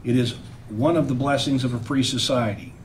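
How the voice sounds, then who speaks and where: A man's voice: mid-range voice, fairly quick, far from the mic, a little hiss or hum, poor audio. A man speaking as a trial judge, formally and solemnly addressing the court from the bench. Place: indoor courtroom.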